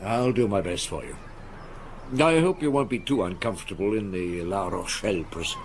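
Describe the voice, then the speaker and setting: An actor playing a calm, shrewd, courteous French chief inspector in a radio drama, speaking in a measured, politely probing way. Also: male voice, low voice, a little hiss or hum. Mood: genial, measured.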